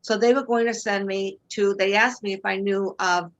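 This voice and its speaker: A woman's voice: medium pitch, steady tone, normal speed, muffled recording. A woman in her sixties with a Boston accent, a guest on a video call telling her story with earnest faith. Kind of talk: personal testimony in interview. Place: home room indoors. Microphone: video call webcam.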